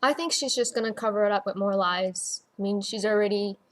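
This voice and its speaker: A woman's voice: mid-range voice, a little fast. Woman chatting casually on a podcast. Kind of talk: casual fan discussion.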